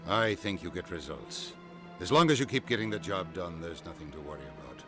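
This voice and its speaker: A man's voice: sits low, animated, a little fast, pushed and bright, faint music behind. A man playing a wry, pragmatic officer advising his commander in a video game. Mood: reassuring. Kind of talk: video game dialogue acting.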